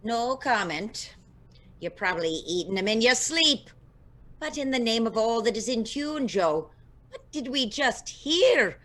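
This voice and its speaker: A woman's voice: a little high, expressive, measured pace, a bit bright. A woman playing a grumpy Irish singing star with a blunt, scathing, sarcastic manner. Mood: scornful, bored.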